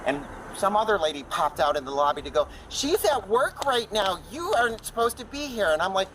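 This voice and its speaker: Angry, rambling man in a street argument, high voice, distant bodycam audio. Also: sing-song, a little fast, fairly bright tone, a little hiss or hum. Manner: agitated, rambling, talks over others. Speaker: arrested member of the public. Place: outdoors by a building on a street.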